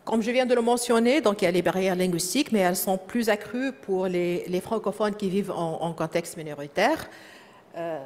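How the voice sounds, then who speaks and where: A woman with a French-speaking accent giving a conference presentation, often pausing with fillers and repeating words as she searches for the next phrase. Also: mid-range voice, a little mellow. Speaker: presenter at a professional development session. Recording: echoey hall.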